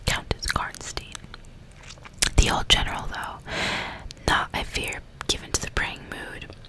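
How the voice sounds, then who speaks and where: A woman whispering in a breathy, unvoiced hush, as an ASMR host reading aloud in an unhurried way. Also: poor audio. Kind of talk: whispered ASMR audiobook reading with casual asides.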